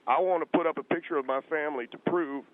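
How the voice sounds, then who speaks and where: A man playing an aggrieved, insecure, pompous married man who calls into a radio show, halting and indignant, repeating himself stubbornly. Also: on the high side, voice pushed up, natural voice. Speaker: radio show guest on the phone. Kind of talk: improvised comic radio interview. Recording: tinny narrow phone line on radio.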